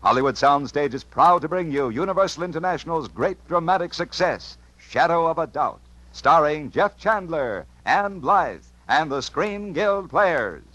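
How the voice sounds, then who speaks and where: A man's voice: a little high, animated, moderate pace, a bit bright, no echo, highs cut off. A man announcing in a formal, dramatic 1950s radio style. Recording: vintage 1950s radio broadcast. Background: music.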